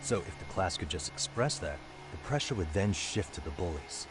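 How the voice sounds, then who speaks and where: Male game detective, very low voice, measured and assured, noisy stream audio. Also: animated. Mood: earnest, determined. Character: level-headed male private detective plotting against bullies. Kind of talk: video game dub acting.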